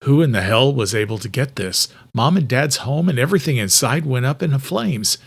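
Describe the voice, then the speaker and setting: A man playing a gruff, determined, protective brother in a thriller audiobook. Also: medium pitch, lively intonation, moderate pace. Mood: tense questioning.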